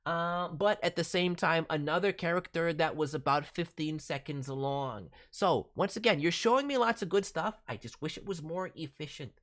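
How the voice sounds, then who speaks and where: A woman's voice: deep voice, moderate pace, hardly any pauses. A woman hosting a livestream critique as a voice director, giving encouraging, emphatic feedback. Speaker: host, voice director reviewing demo reels. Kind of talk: spoken critique, livestream commentary.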